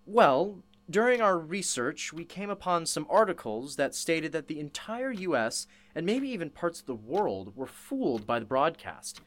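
An actor playing an inquisitive interviewer in a radio play, sympathetic but probing. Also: male voice, on the high side, sing-song, moderate pace, hardly any pauses, a bit bright.

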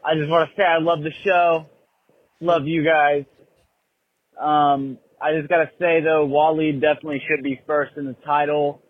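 A man's voice: on the high side, flat delivery, normal speed, dry recording. A man leaving a casual, joking voicemail as a fan of a show, on a narrow lo-fi recording. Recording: dry, muffled lo-fi voicemail clip.

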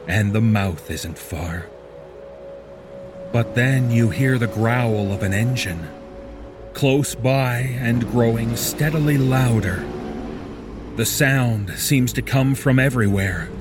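A man reading a scripted second-person horror story in a measured, deliberate manner. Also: mid-range voice.

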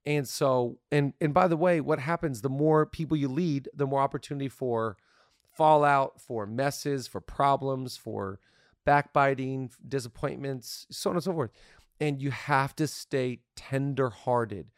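Upbeat male podcast host, mid-pitched, playful and chatty, dry close studio sound. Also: no room sound. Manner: upbeat, playful, quick to laugh. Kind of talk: casual podcast talk on leadership.

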